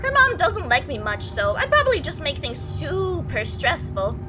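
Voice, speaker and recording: A creepy young man from a visual novel, acted out by a woman, chatters on without pause. The pitch sits high and jumps around animatedly. He is breezy and oblivious, with casual menace under the friendly rambling, and music plays behind. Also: wildly swooping pitch, poor audio. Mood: defensive, breezy. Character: talkative, oblivious, creepy young male bus passenger.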